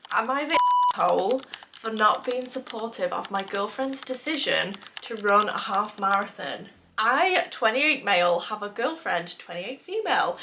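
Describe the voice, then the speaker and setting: Bright-voiced woman with a cold. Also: mid-range voice, slight background noise. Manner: chatty, laughs often. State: nasal from a cold. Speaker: host. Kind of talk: casual chat reading posts aloud.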